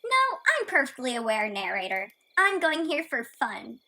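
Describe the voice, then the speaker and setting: A woman's voice: sits high, very animated, moderate pace, bright, forceful tone, slight background noise, exaggerated voice. A woman playing a fearless, sassy young female kitten in a radio play, blunt and flippant. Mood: cheeky.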